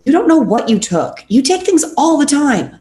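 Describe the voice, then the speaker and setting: A woman's voice: sits high, sing-song, moderate pace, mellow. A woman playing a blunt, sarcastic, impatient young best friend in a script table read. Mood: exasperated, rattled. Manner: sardonic, impatient. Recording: echoey room with faint noise.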